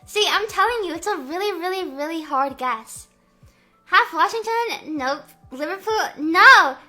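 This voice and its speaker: A young woman from the Midwest chatting playfully on a live stream, answering chat guesses, full of "like" fillers and drawn-out vowels. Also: very high voice, lively intonation, pushed and bright, faint music behind. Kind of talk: casual live-stream chat reading comments.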